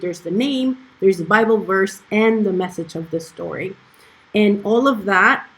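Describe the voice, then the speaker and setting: A woman's voice: medium pitch, fairly bright tone, rough recording. A woman casually walking through her dubbing work on screen, hesitant and full of ums and restarts. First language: not Icelandic. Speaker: dubbing producer. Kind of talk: casual screen-share walkthrough.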